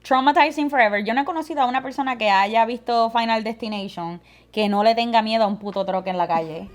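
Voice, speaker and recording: A woman's voice: on the high side, very fast. A woman, co-hosting a casual podcast chat and mixing Spanish and English. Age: 30s. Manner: switches between Spanish and English mid-sentence. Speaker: podcast co-host.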